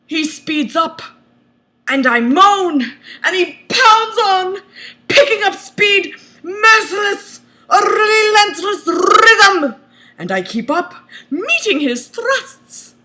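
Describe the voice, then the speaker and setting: A woman's voice: extremely high pitch, sharp tone, slight background noise. A woman narrating a novel as a comedic mock-dramatic reading, with theatrical, exaggerated emphasis.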